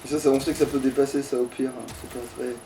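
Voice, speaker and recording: Fast-talking man gaming, slightly distant mic. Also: mid-range voice, little pitch movement, mellow. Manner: foul-mouthed, grumbling. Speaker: let's player. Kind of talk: casual gaming commentary with a friend. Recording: distant mic, faint background noise.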